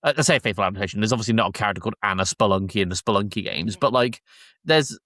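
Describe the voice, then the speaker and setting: A man's voice: lowish voice, rapid-fire, pushed and bright, no echo, studio quality. A man hosting a casual review chat among friends on a podcast. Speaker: podcast host.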